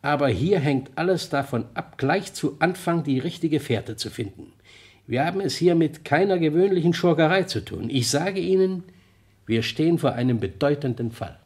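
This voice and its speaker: An actor playing a stately, shrewd New York detective with a deliberate, confiding manner in a vintage German radio crime play. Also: male voice, a little high, animated, darkish tone. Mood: emphatic.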